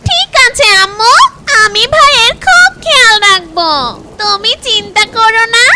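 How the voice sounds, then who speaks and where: A woman's voice: very high-pitched, normal speed, heavy background noise, voice pushed up. A woman voicing a young bird chick, a dutiful and protective big sister in a cartoon, with pleading childlike delivery.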